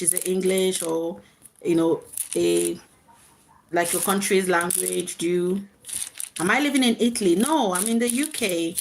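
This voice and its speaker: A woman's voice: medium pitch, a little hiss or hum, poor audio. A woman hosting a casual live chat, speaking reflectively in short, repeated phrases to her viewers. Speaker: live stream host.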